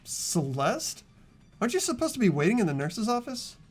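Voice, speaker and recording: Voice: medium pitch, sing-song, normal speed, husky, voice pushed up. A performer voicing an earnest, anxious, easily startled teenage boy who narrates an amateur dub of a mystery game.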